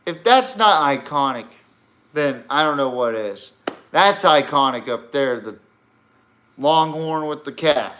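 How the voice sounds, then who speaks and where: Guy doing casual podcast rants, slow talker but punchy bright voice, blunt and kinda rambly. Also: a little high, expressive. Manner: rambling, blunt, profane, emphatic. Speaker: sports podcast host. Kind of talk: casual opinionated sports and politics commentary.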